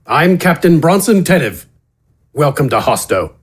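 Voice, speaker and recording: A man voicing a stern, gruff city guard captain who speaks in a curt, commanding way in a fantasy audio story. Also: a little high, big pitch swings, a little slow, voice pushed up, heavily acted.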